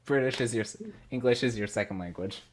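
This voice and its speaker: A man's voice: medium pitch, fast talker. A man co-hosting a podcast in casual, bantering chat, with slight noise and clicks on the recording. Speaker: podcast co-host. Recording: faint background noise, clicks.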